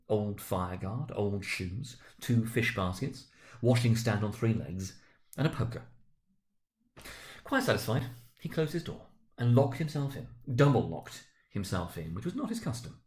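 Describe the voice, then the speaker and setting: Expressive man giving a dramatic reading. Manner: expressive, swinging between loud emphasis and hushed low passages. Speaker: school director reading aloud. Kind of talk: dramatic reading of a classic novel.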